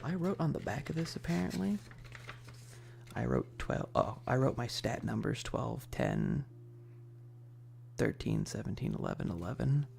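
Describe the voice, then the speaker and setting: A man with a heavily creaky, gravelly voice and a lively, wandering pitch chats casually on an ASMR stream. He rambles in a hushed tone that often slips toward a whisper. A faint hum sits behind him. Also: a little slow, pauses often. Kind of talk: casual ASMR livestream chat.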